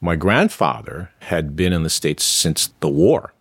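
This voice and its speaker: A middle-aged man from New York City with no regional accent, calmly telling stories as an interview guest. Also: extremely low pitch, unhurried, creaky, husky, dry recording, clean studio sound. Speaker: interview guest, amateur actor. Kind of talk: conversational interview.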